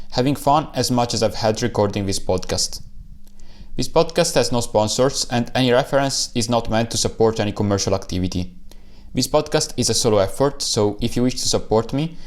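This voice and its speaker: A young man hosts his podcast in a slightly low voice. He speaks earnestly and in measured, formal phrases. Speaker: podcast host, PhD student. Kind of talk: scripted podcast intro then conversation.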